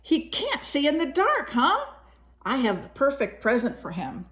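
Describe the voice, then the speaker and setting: A cheerful, helpful spectacled bear from a picture book, put on by a woman storyteller. He has a somewhat high voice. His way of talking is friendly and matter-of-fact. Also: huge pitch swings, measured pace, fairly bright tone, exaggerated voice.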